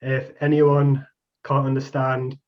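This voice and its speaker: A man's voice: medium pitch, monotone, slow pace. Young Geordie man, casual researcher presenting online, ums a lot; dull lo-fi call audio. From Newcastle, England. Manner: casual, chatty explaining with frequent ums. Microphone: video call. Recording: muffled video call audio.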